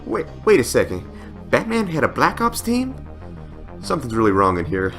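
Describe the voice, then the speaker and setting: Brash young male superhero, acted by a man, bright forceful voice, urgent quick exclamations, lively pitch, comic-book audio drama. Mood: agitated. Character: brash, cocky young male space superhero, easily rattled. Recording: background music under the voice.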